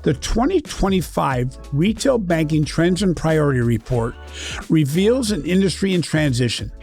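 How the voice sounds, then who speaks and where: Man, podcast host, animated delivery, gravelly, slightly high voice, faint music behind. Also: moderate pace. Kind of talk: scripted podcast intro and interview questions.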